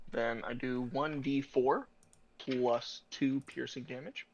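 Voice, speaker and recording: A man with a mid-pitched voice talks casually as a player at a tabletop game. He sounds tentative, thinking aloud, and the audio is dull and lo-fi. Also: fairly even intonation, slow, slight background noise. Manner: tentative, working things out aloud.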